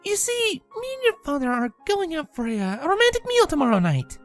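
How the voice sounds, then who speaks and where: A woman's voice: extremely high pitch, sing-song, brisk, soft background music, voice pushed up. A woman voicing a chirpy, slightly flustered mother pegasus pony in a story. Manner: pleading, gushing.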